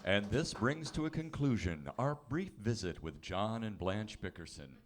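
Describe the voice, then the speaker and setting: Man, lowish voice, lively melodic delivery, scripted old-time radio announcer. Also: normal speed. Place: live theatre stage.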